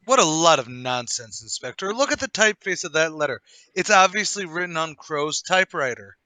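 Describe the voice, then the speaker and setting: A man plays a smug gentleman villain in a radio mystery. His voice is bright, forceful and on the high side, with haughty, theatrical phrasing. The sound is close and dry. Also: lively intonation, fairly quick, no echo. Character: smug gentleman murderer, flustered then coolly confessing. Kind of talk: radio play table read.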